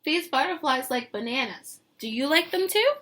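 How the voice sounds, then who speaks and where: A woman teacher reading a picture book aloud to young children, expressive and sing-song, pausing to put questions to them. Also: sits high. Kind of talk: read-aloud lesson with questions to young listeners.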